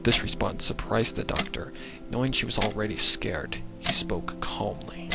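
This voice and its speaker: Low gravelly guy narrating a dramatic story, loud music under him. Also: moderate pace. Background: loud background music.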